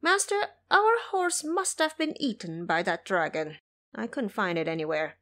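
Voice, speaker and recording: A woman's voice: mid-range voice, big pitch swings, normal speed, fairly bright tone. A woman voicing a boastful, hot-tempered male monkey disciple in an audio drama, brash and impatient. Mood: alert, reporting.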